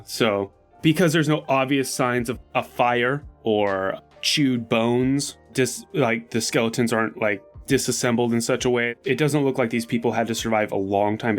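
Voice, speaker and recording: A man playing a tabletop game, casually thinking aloud and analysing the scene in a conversational way. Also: medium pitch.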